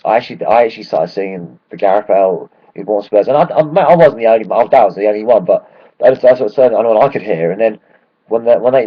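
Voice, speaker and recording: Hoarse excitable football fan. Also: male voice, mid-range voice, muffled recording. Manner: rambling, excitable, swears freely. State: hoarse from shouting. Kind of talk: casual match rant.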